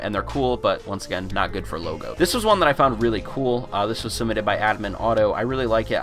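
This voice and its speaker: A man's voice: lowish voice, fairly bright tone. A young man with a cold, chatting casually over a screen recording as he reviews fan art, rambling and repeating words. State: congested from a cold. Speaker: gaming YouTuber.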